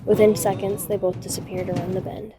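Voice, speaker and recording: A woman's voice: mid-range voice, little pitch movement, a little fast, music in the background, natural voice. A woman playing a watchful young woman who narrates a night break-in in a scripted audio drama. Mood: measured, suspenseful. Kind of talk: scripted first-person narration.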